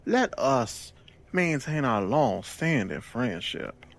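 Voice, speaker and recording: An actor playing a mock-courteous gangster boss in an audio drama, with florid, formal phrasing. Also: male voice, mid-range voice, very animated, slow, heavily acted. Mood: smooth, scheming.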